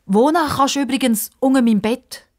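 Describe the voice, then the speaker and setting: Frau spielt ein trotziges Mädchen mit hoher Stimme. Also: female voice, sits high, brisk, pitched above the performer's own voice, close to an everyday voice. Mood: eager, pleading. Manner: defiant, impulsive. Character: stubborn, spirited young girl keen on football.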